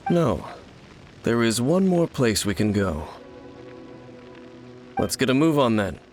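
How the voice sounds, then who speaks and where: An actor playing a brooding, world-weary wanderer in a fantasy game, speaking in a grave, measured, formal way. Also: male voice, medium pitch, big pitch swings, soft background music. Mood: calm, resolute. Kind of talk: scripted fantasy game acting.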